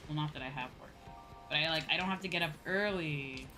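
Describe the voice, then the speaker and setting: Woman, low-pitched voice, casual gaming livestream chat, informal and chatty, prominent game music in the background. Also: brisk, poor audio. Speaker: livestreamer playing online games and chatting with viewers.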